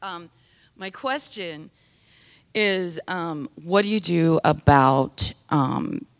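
A woman with a very low voice asks the panel a question from the audience. She talks loudly and chattily, with a joking edge. She is on a handheld mic that cuts out. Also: lively intonation, very slow. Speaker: audience member asking a question. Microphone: handheld mic that cut out.

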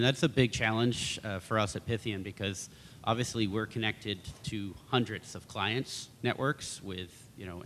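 A man with a lowish voice answers from a conference panel, casual and full of fillers and restarts. His words ring out in a long hall reverb. A faint rumble sits underneath. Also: a bit bright. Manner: conversational, many fillers and restarts.

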